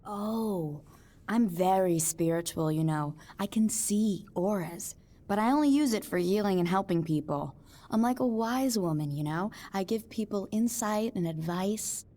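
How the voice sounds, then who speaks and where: A woman's voice: mid-range voice, animated, unhurried, dark tone. An actress playing a chatty, eccentric female new-age mystic with a breezy, airy way of talking in a game cutscene. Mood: spacey. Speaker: motel resident, self-declared psychic.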